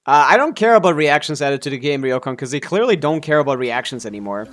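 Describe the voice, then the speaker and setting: A man's voice: on the high side, lively intonation, fast, fairly bright tone. A man doing casual live game-stream commentary in a rambling, mildly jaded way, often restarting his thoughts.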